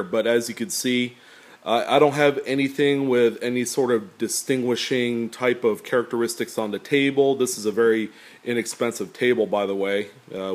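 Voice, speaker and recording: A man with a deep, slightly nasal voice chats easily to his viewers. He rambles along in an unhurried way, filling the pauses with ums and uhs. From California. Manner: relaxed, rambling, many fillers. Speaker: coin collecting YouTuber. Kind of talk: casual talk to camera. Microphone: camera pointed at table, speaker off screen.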